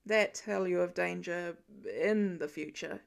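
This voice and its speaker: A woman voicing a weary, sarcastic adult male hero who grumbles in a deadpan way in a story reading. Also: on the low side, a little slow, vocal fry, pitched below the performer's own voice. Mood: incredulous, deadpan.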